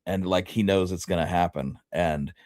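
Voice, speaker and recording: A man co-hosting a casual history discussion, thinking aloud in a halting way. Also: deep voice, a little mellow, no room sound, clean studio sound. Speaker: history show co-host.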